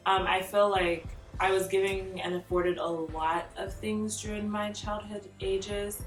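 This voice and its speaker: A young woman vlogging, chatting through tag questions in an animated way, laughing often and filling her talk with "like.". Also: mid-range voice, a little slow. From Nigeria. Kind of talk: casual chat answering tag questions. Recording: roomy echo, mic a bit far off, faint music behind.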